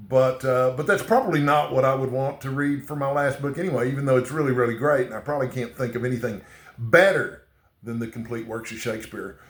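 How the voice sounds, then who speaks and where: A man's voice: mid-range voice, echoey room. Chatty man with a slight Texan accent. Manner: rambling, repeats "okay?" often, halting restarts. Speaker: booktuber. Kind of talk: casual chat to camera.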